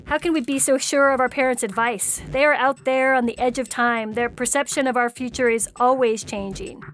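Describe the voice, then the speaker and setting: Woman playing a high-voiced, defiant young woman in love. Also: steady tone, normal speed, fairly bright tone, soft background music, natural voice. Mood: defiant, pleading. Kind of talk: dramatic reading of audio fiction.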